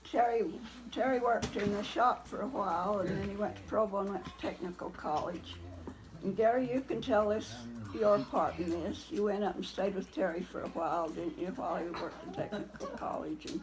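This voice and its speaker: An older woman, a grandmother, telling family memories in a casual, halting, reminiscing way. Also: medium pitch, a crowd in the background. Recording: noisy informal family gathering recording.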